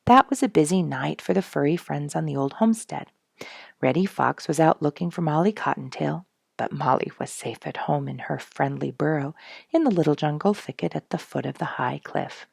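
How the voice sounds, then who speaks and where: A woman reading a children's animal story in an expressive, scripted storytelling style, with a husky, creaky voice. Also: low voice, normal speed, dry recording, studio quality. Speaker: storybook reader and host.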